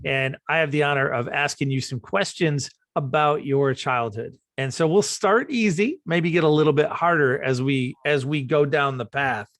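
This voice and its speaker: A man's voice: fairly high pitch, normal speed, hardly any pauses, a bit bright, dry recording, clean studio sound. Man asking encouraging interview questions. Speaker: interviewer, panel guest.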